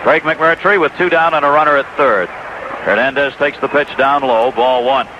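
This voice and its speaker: A man's voice: medium pitch, fairly even intonation, moderate pace. An American man giving live play-by-play baseball commentary as a radio announcer. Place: ballpark broadcast booth. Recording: dull, noisy 1980s radio broadcast.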